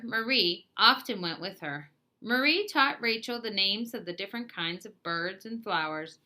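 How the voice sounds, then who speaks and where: Bright-voiced woman reading aloud. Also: mid-range voice. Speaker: read-aloud narrator. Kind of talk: reading a children's nonfiction book aloud.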